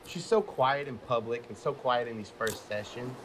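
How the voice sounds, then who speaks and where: A man's voice: medium pitch, normal speed, noisy background, lightly acted. An actor playing a frank, supportive man in a self-help group, casual and sincere in a naturalistic audio drama. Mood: gossipy. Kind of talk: naturalistic audio fiction acting.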